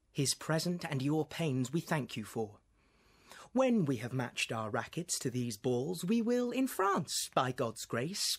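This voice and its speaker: A man's voice: fairly high pitch, fairly bright tone, full character voice. Young guy doing a proud shakespeare king, big declaiming, cold and mocking. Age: young adult. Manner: declamatory, lofty, rising emphasis. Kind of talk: Shakespeare monologue.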